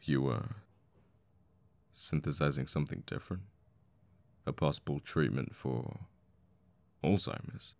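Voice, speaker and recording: An actor playing a conflicted, protective assassin boyfriend in an intimate ASMR audio roleplay, speaking tenderly and haltingly with pauses. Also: male voice, extremely low pitch, gravelly, a little mellow, dry recording, full character voice. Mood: grudging, attentive.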